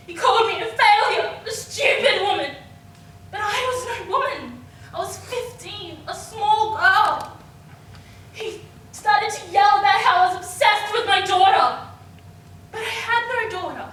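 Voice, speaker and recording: A woman playing an anguished young woman in a theatrical monologue about abuse, with halting delivery and dramatic pauses. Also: lively intonation, recorded from a distance, heavily acted. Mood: bitter distress. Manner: halting, dramatic pauses, swinging from hushed to screamed. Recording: echoey room from afar, noisy with rumble.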